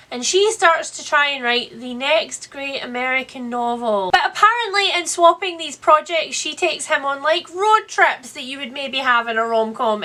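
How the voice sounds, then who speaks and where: A woman's voice: high-pitched, sharp tone. A woman hosting a book vlog, chatting directly to viewers in a wry, sarcastic, playfully exaggerated way. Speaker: book reviewer, channel host.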